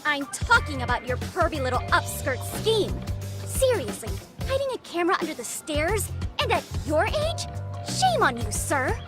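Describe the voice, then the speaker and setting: High-pitched, theatrical schoolgirl detective. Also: huge pitch swings, moderate pace, over music. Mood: smugly accusing. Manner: theatrical, accusatory, formal wordy phrasing. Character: haughty, dramatic teenage schoolgirl amateur detective. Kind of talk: video game voice acting.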